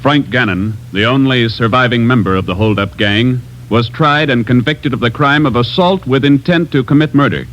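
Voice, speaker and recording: A man working as a radio announcer who reads in an even, formal 1950s style. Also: medium pitch, moderate pace, slight background noise. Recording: dull old radio broadcast with music.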